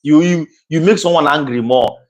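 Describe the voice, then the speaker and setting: A man chatting with his wife about marriage, loud and animated, turning to address his viewers. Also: on the high side, moderate pace. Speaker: husband co-hosting a couple's channel. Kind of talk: casual chat.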